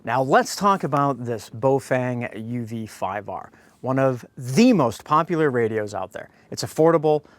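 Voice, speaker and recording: A man's voice: medium pitch, expressive, moderate pace, no room sound. An American man hosting a product review, speaking to viewers in a blunt, opinionated way. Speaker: host of a radio review.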